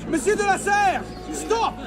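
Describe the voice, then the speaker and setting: An actor playing a frantic French messenger in a video game, out of breath and flustered. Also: male voice, extremely high pitch, unhurried, vocal fry, music in the background, a crowd in the background, heavily acted. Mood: urgent, flustered. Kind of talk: video game cinematic acting.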